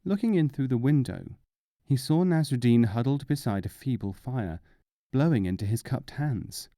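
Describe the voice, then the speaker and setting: A man's voice: mid-range voice, lively intonation, normal speed, mellow, no echo, studio quality. A man reading as an audiobook narrator, in scripted storybook narration.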